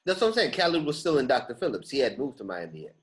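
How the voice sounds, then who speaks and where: A man's voice: mid-range voice, very animated, fast talker, slight background noise. A man hosting a podcast in casual chat, speaking in a slangy, emphatic way. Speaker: podcast host.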